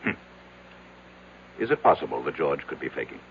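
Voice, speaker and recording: An actor in an old radio drama playing a domineering, impatient rich man who questions curtly and coldly, in a husky voice. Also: rumbling low, big pitch swings, normal speed, a little hiss or hum, exaggerated voice. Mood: demanding, scornful. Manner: curt, commanding, interrogating. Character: domineering, impatient rich tycoon, cold and demanding. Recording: tinny, narrow old radio sound.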